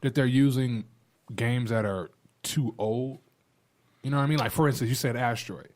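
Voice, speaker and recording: American guy on a podcast panel, normal pitch, casual, opinionated. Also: normal speed, darkish tone. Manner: informal, opinionated.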